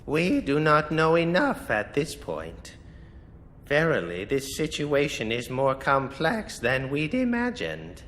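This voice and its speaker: A man acting a solemn, formal retainer in a video game, speaking in measured, archaic phrases. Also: a little high, huge pitch swings, fairly bright tone, slight background noise, full character voice. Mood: formal, reporting. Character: solemn, formal male retainer explaining lore gravely.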